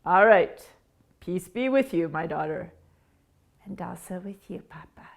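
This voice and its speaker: A woman voicing a gentle heavenly father, speaking softly and solemnly. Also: lowish voice, animated, unhurried, creaky, pitched below the performer's own voice, exaggerated voice. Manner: soft, blessing, solemn.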